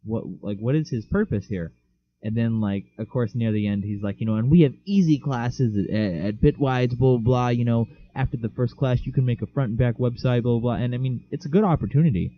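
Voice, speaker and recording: A man's voice: medium pitch, a little fast. A teenage man co-hosting a casual podcast, rambling with lots of fillers. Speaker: podcast co-host. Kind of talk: casual chat. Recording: dull lo-fi mic.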